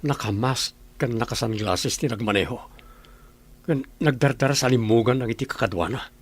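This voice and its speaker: A man with a Filipino accent playing an observant gentleman instructing his driver in a radio drama. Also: medium pitch, normal speed, breathy edge, lightly acted. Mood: calm, observant. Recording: noisy car scene in radio drama.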